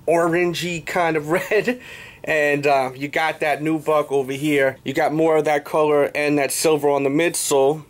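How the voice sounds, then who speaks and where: A man in his thirties with an American accent hosting a casual sneaker review, using slangy hype-man patter and catchphrases, recorded indoors a few steps from the camera. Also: a little high. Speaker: sneaker review show host. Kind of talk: casual vlog product review. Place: small indoor room.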